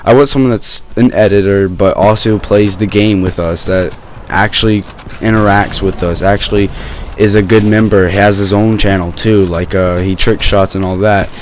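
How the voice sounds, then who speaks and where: A young man with a sore throat, talking low and rambling casually over gameplay footage on his gaming channel. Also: fairly even intonation, moderate pace, slight background noise. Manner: rambling, many fillers and restarts.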